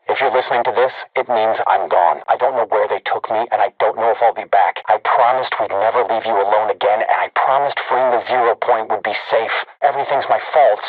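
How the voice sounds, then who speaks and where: A weary, remorseful scientist for a video game, lowish and husky. He talks in a somber, resigned way on a narrow, phone-like recording. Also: dry recording. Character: regretful, weary male scientist leaving a farewell message. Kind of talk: video game character voice acting. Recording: dry, tinny radio-like recorded message.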